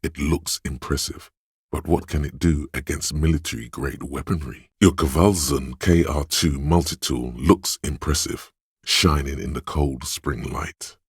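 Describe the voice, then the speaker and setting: A man playing the grave, wry inner-voice narrator of a detective game, speaking in a measured, deliberate, literary way with dramatic pauses. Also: steady tone, dry recording, studio quality, exaggerated voice. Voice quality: very deep, gravelly, husky. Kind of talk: scripted game narration, second person.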